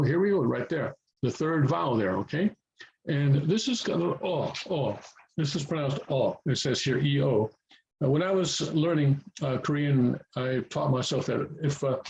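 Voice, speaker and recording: A man's voice: mid-range voice, vocal fry, a little mellow, low-quality recording. A man whose first language is English, patiently teaching how to read Korean letters in a casual home tutorial, repeating himself and checking in often. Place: home near a highway, passing traffic.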